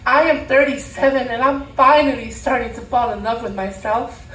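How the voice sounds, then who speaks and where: A woman in her thirties performing spoken word poetry live, emphatic, with deliberate repeated phrases. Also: on the high side, a bit bright. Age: 30s. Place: room at a live poetry event. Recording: roomy, distant mic, faint background noise.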